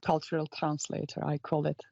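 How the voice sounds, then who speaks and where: A woman's voice: low-pitched, monotone, brisk, vocal fry, dark tone, no echo. A woman co-hosting a podcast, asking questions in a casual interview style. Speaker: podcast co-host. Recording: dull, slightly lo-fi, dry.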